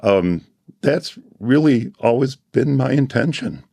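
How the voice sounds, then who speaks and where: A man who organizes a conference, hoarse and losing his voice, speaking in a heartfelt, reflective way and clearing his throat often. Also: mid-range voice, lively intonation, takes it slowly, dark tone, dry recording. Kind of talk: casual panel chat.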